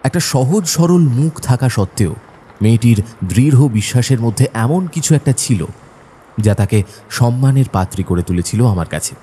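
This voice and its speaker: An actor playing a thoughtful, observant detective's companion, telling the story in a measured, descriptive way for an audio drama. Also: male voice, medium pitch, expressive, dark tone, a little hiss or hum, close to an everyday voice. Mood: reflective. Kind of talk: scripted narration and audio drama acting.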